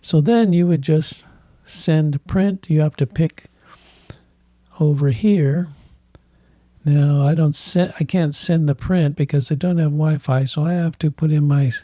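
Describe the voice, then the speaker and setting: A man hosting a tech channel, thinking aloud in halting bits while he clicks through software, with a voice that is giving out. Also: on the high side, fairly even intonation, no room sound. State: losing his voice. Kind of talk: casual screen walkthrough.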